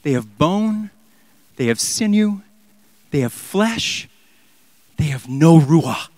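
Impassioned slow-preaching man pastor. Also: on the high side, lively intonation, dry recording, studio quality. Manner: impassioned, swinging from loud emphatic peaks to near-whispers. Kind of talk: sermon. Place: large church sanctuary. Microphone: handheld mic.

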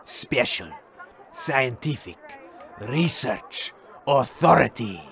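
Slow, swooping theatrical crackpot prophet played by a man. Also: mid-range voice, animated, vocal fry, a bit bright, some chatter behind, full character voice. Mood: grandly mysterious. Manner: grandiose, drawn-out suspenseful delivery. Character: theatrical male crackpot treasure hunter revealing secrets. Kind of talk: comedy audio drama acting.

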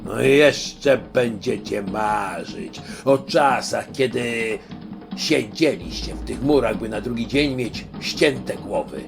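A man's voice: medium pitch, expressive, slow, gravelly, over music. Gloating, cruel storybook king. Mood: gloating, menacing. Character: gloating cruel king, mocking his prisoners.

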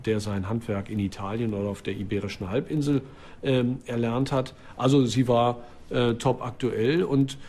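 Mellow-voiced male archaeologist explaining, halting. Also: mid-range voice, steady tone. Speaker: archaeologist interviewee. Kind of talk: unscripted interview explanation.

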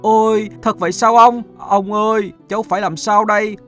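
Male actor as young cloud, proud, touchy, childlike, high voice, expressive, cartoon fable, music behind. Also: moderate pace. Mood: tearful, worried.

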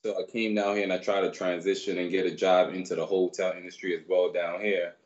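A man in his thirties from Barbados, raised in New York, telling his story as a guest in a relaxed, rambling way on a video call. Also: sits low, brisk, lo-fi sound. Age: 30s. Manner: relaxed, rambling, many fillers. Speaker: guest, personal trainer. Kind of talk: casual storytelling interview. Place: small room indoors. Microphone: video call webcam.

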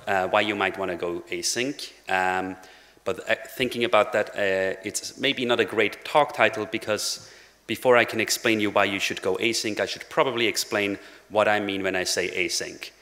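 A man's voice: lowish voice, normal speed, fairly bright tone. Man, conference speaker, casual with fillers, long reverb of a large hall. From Switzerland. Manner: casual, frequent "uh" fillers, dry jokes. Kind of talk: technical conference talk. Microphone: lectern microphone.

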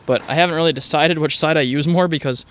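Guy vlogging outside, smooth clear voice, chatty and kinda rambly, just showing stuff around. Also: on the high side, steady tone, fast. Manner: rambling, informal. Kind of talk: casual walkaround narration. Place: outdoors in a driveway beside a truck.

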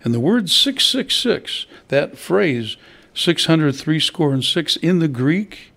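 A man's voice: fairly high pitch, sing-song, slow, creaky, bright, forceful tone. An older man, a pastor, preaching a sermon at a church pulpit, conversational and asking his listeners questions. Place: church hall at a wooden pulpit. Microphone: stand mic at the pulpit.

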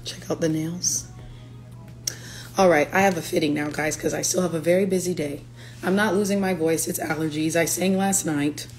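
A young woman, a singer-songwriter, chatting playfully on a livestream from her living room, her voice raspy from allergies. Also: deep voice, steady tone, normal speed, soft background music. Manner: chatty, playful, breaks into lyrics. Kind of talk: casual livestream chat. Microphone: phone camera at arm's length.

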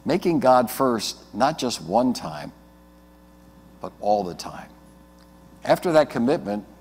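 A mature American man, a pastor, delivers an earnest sermon with emphatic phrasing and deliberate pauses inside a church. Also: medium pitch. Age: middle-aged or older. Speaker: Lutheran pastor. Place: indoors at the front of a church, before the altar. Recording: reverberant church hall.

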